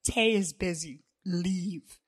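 A woman reading the part of a possessive young man, low and firm. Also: slow.

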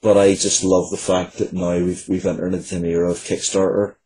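A man's voice: sits low, level tone, brisk, mellow. A man speaking as an interview guest and writer, casually and earnestly, in a reflective way. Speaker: guest, writer of fiction, comics and games.

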